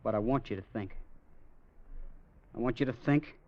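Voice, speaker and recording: An actor playing a grim young gunfighter in a radio western, speaking in deliberate, clipped phrases. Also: male voice, medium pitch, close to an everyday voice. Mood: cold menace. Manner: deliberate, clipped, menacing pauses. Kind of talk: 1950s radio western acting. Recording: muffled old-time radio recording.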